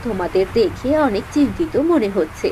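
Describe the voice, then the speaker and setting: A woman playing a gentle, caring, motherly landlord's wife in a cartoon, with a sympathetic, soft-spoken manner. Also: mid-range voice, very animated, a little fast, a little hiss or hum, natural voice. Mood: concerned, kind. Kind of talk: cartoon dialogue acting.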